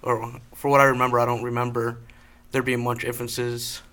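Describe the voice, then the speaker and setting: Man, tentative panelist, steady level voice. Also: mid-range voice, a little fast. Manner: hedging, tentative. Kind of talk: casual panel discussion.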